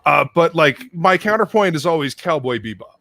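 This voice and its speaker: A man's voice: fairly high pitch, expressive, normal speed, creaky, pushed and bright, no echo. A man hosting a casual live stream chat, rambling between topics, swearing freely and greeting viewers in the chat. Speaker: stream host.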